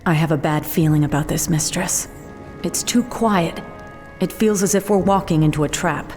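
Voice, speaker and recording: A woman's voice: deep voice, normal speed, a little mellow, close to an everyday voice. A woman playing a dutiful, questioning night elf huntress in a fantasy game, earnest, formal and deferential. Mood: uneasy. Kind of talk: fantasy video game voice acting. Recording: faint music under the voice.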